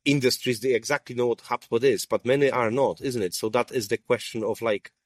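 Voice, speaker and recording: A man hosting a casual interview on a rooftop, talking in halting bursts with many fillers and restarts. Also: medium pitch, fairly even intonation, no room sound, studio quality. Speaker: podcast host. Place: sunny rooftop at a conference.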